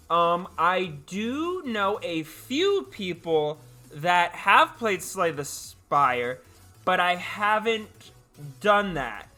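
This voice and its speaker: A man's voice: sits high, bright, forceful tone. A man chatting casually and playfully with live stream viewers, often repeating his words and phrases. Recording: music playing behind the voice. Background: background music.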